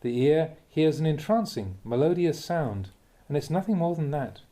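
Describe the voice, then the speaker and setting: A man reading a Buddhist teaching aloud as a Dhamma talk, in measured, deliberate phrases with emphatic contrasts. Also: fairly high pitch, big pitch swings, dark tone.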